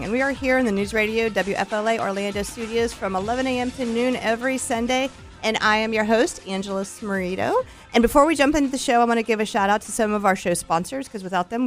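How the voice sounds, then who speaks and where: A woman's voice: fairly high pitch, no room sound. A woman hosting a casual radio interview in a studio, upbeat and chatty with plenty of fillers. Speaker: radio show host. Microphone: studio microphone.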